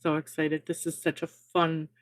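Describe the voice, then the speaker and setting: A woman guest chatting eagerly about crochet during a relaxed livestream. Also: low-pitched, normal speed, no echo, studio quality. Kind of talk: casual crochet chat on a livestream.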